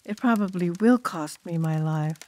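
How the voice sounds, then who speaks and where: A woman's voice: fairly low pitch, moderate pace, darkish tone, natural voice. A woman voicing a fearful, apologetic teenage drum major in an audiobook, grave and dramatic. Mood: fearful, resigned. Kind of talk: audiobook character acting.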